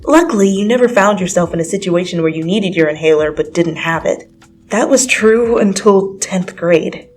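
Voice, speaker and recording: A woman's voice: on the low side, normal speed, a little mellow, a little hiss or hum. A woman reading a story aloud as scripted, dramatic second-person narration. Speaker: fan fiction reader. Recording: music under the voice.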